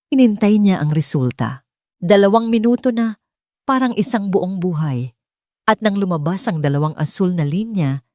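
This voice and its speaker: A woman's voice: lowish voice, darkish tone, dry recording, studio quality. A woman storyteller reading a scripted romantic tale in a dramatic narrating style.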